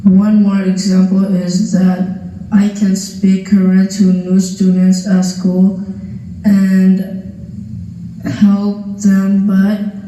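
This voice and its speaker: A young boy slowly reading his essay aloud, careful and even. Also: high voice. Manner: slow, careful, flat reading. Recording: video played through speakers, heard from far off in an echoey gym.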